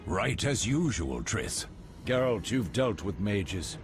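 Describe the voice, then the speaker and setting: A man's voice: lowish voice, takes it slowly, music in the background, heavily acted. An actor playing a firm, fatherly old warrior mentor in a fantasy video game, speaking with authority. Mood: fatherly, instructing. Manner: paternal, authoritative, expressive.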